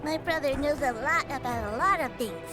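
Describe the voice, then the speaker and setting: A woman as a very high-pitched little game kid. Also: fairly quick, natural voice. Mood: proud. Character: young child, sad and earnest, in a video game. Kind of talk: video game voice acting. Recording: game dialogue under loud music. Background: loud game music.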